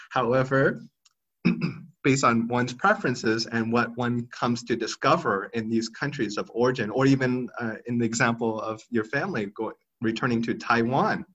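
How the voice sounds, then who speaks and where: A man's voice: medium pitch, normal speed, fairly bright tone, slightly rough. Thoughtful man in his thirties, muffled audio. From Thailand. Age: 30s. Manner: thoughtful, hesitant, many restarts and fillers. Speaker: podcast guest. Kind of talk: reflective interview answers.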